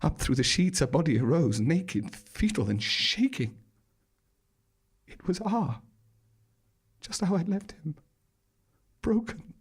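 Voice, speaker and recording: A gravelly, husky voice tells a horror tale as a stage monologue. It is a male actor as a haunted, theatrical man who lingers on each gruesome detail with dramatic relish. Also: on the high side, sing-song, takes it slowly, long pauses, full character voice. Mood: horrified, dramatic. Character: haunted man recounting a grisly hotel nightmare, wry and dramatic.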